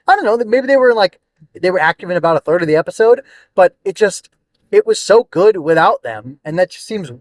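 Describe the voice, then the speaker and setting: A man with a slightly high voice hosts a casual solo review podcast. He talks off the cuff, circling his thoughts and hunting for the right word. The sound is dry, with very little room around it. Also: lively intonation, fairly quick, dry recording. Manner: rambling, searching for words, thinking aloud. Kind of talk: casual unscripted review monologue.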